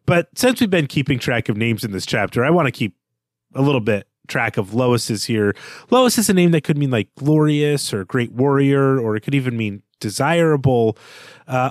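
A man's voice: mid-range voice, expressive, normal speed, dry recording, clean studio sound. A man co-hosting a literary podcast, reading a passage aloud and then thinking through the names in it. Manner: reads aloud from the novel, then muses over names. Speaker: podcast co-host.

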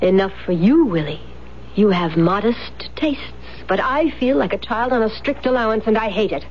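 An actress playing a cold, imperious, money-hungry wife in a 1970s radio drama, haughty and deliberate in manner. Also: female voice, mid-range voice, animated, close to an everyday voice. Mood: cool, calculating. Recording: noisy, dull 1970s radio broadcast.

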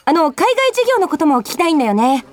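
A woman's voice: high-pitched, fast, slight background noise. An actress playing a pushy, flustered young office woman in a Japanese radio drama. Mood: insistent, eager. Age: young adult. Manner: insistent, excitable. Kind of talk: Japanese radio drama acting with inner monologue.

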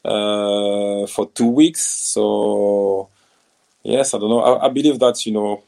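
A man's voice: on the low side, steady tone, slow pace, vocal fry, mellow. A man from France with a French accent, a former professional footballer answering interview questions in a hesitant way, with many restarts and fillers.

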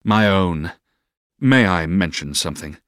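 A man voicing an earnest, ardent young Victorian gentleman in love, tender and exhorting, for an audiobook. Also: medium pitch, sing-song, normal speed, no room sound, studio quality, voice pushed up, lightly acted. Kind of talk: audiobook character voice.

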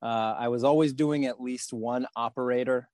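A man speaking as a podcast guest about his career and fundraising, pausing often to restart his sentences. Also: mid-range voice, steady tone, a little mellow, no echo, clean studio sound. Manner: halting, many fillers and restarts. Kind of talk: podcast interview.

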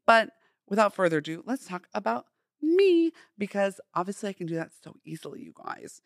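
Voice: mid-range voice, big pitch swings, brisk, no echo, clean studio sound. A podcast host in their twenties with a feminine-sounding voice, talking casually and playfully in chatty, slangy, emphatic monologue. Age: 20s.

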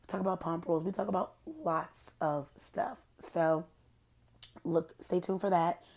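Young woman, podcast host, hoarse, rambling gossip chat, lowish gravelly voice. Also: measured pace, darkish tone. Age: young adult. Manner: chatty, rambling, self-described low-key shady. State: hoarse, has lost her voice. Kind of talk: casual solo podcast chat.

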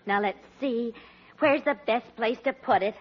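An actress playing a gently chiding, coaxing middle-aged wife and mother in a 1940s radio sitcom. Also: on the high side, measured pace. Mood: delighted, fond. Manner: coaxing, mildly exasperated. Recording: muffled vintage radio with studio audience.

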